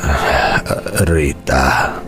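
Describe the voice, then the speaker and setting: An actor with a Filipino accent playing an ailing, remorseful old uncle in a radio drama, halting and sighing as he speaks. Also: a bass voice, level tone, fairly bright tone, faint music behind, exaggerated voice. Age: older. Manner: halting, sighing, self-reproachful.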